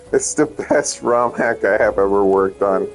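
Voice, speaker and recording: A man's voice: on the low side, slow pace, soft background music. A young man from Puerto Rico, Spanish his first language, casually rambling through an update on his ROM hacks, joking and cutting himself off. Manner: rambling, self-interrupting, joking. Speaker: ROM hack creator giving updates.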